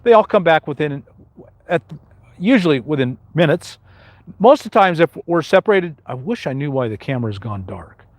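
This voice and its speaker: A man's voice: fairly high pitch, sing-song, moderate pace, dry recording. Older man with a big carrying voice. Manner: rambling, with many restarts and fillers. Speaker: host answering viewer questions on a live stream. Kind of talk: casual live Q&A.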